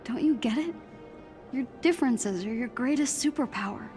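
Game casting: earnest teen heroine, American accent, lightish high voice, heartfelt, music underneath. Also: female voice, expressive, normal speed. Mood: earnest, pleading. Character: earnest, plucky teenage superhero girl. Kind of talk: dramatic game cutscene acting.

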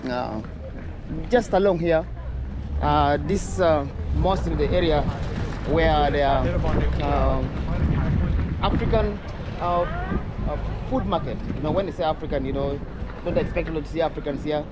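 A man's voice: a little high, unhurried. A man from northern Uganda, vlogging casually as he walks through a street market, chatting with many fillers and restarts. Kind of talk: casual walking street vlog. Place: outdoor city street market. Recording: street noise behind outdoor voice.

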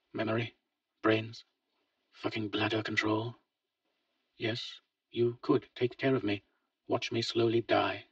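A man voicing a weary older father who speaks quietly and bluntly, with a husky voice. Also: lowish voice, no room sound, voice pushed up. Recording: dull lo-fi, distant mic.

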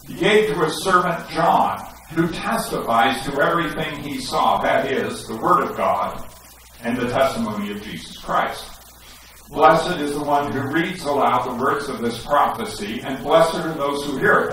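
A middle-aged man gives an animated sermon, reading scripture and pressing his listeners with emphatic questions. He wears a headset mic, yet his voice comes across from a distance. A strongly echoing church sanctuary adds long reflections to every phrase. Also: on the high side, normal speed, slight background noise. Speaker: pastor. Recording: echoey sanctuary, recorded from a distance.

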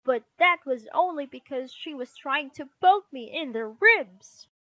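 Woman playing small boy bear, storybook, high, loud, whiny, defensive. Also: expressive, unhurried, no room sound, heavily acted. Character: defensive young boy bear cub, squabbling sibling.

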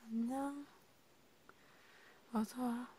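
Soft, slow, whispery ASMR woman. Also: fairly high pitch, steady tone, slight background noise. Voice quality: soft, breathy, often whispered. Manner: gentle, hesitant, murmured with long pauses. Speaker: ASMR host. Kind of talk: ASMR roleplay, soft intimate one-sided chat.

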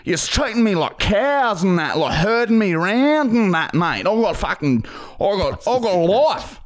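A man's voice: high voice, very animated, normal speed. A man in his thirties with an Australian accent playing an aggrieved, dim-witted bogan customer on a prank call, rambling and repeating himself in slang. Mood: indignant, sweary. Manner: repetitive, rambling, slangy, sweary. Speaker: podcast host making a prank call. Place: podcast studio. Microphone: close boom-arm podcast microphone.

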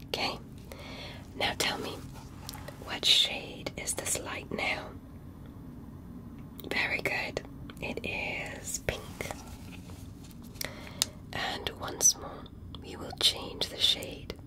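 A woman in an ASMR medical roleplay, speaking in a breathy whisper as a careful examiner who gently gives step-by-step instructions and reassurance. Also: drawn-out words, pauses often, low-quality recording.

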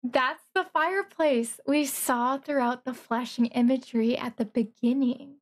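High-pitched, slow-paced gushing woman streamer. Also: steady tone. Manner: gushing, awestruck, pausing mid-phrase to think. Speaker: streamer reacting to a game trailer. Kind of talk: trailer reaction commentary.

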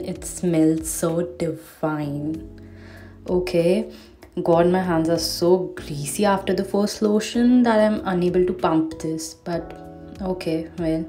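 Young woman vlogging her routine at home, low-ish warm voice, chatty, soft music in the back. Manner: chatty, enthusiastic about products. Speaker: beauty vlogger. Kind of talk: casual routine vlog voice-over. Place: bathroom at home. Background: faint music.

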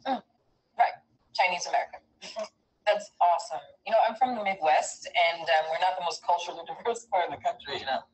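A woman's voice: lowish voice, fairly quick, poor audio. A young woman acting in a workplace sketch, talking in a chatty, upbeat way. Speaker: sketch actor.

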